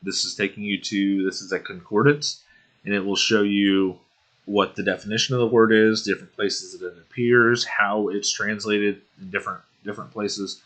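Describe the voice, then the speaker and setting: A young man with a bright, forceful voice that sits a little low, leading a casual study of scripture. He takes it slowly, says um often and clears his throat between points. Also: fairly even intonation. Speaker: Bible study video host. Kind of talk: casual screen-share Bible study walkthrough.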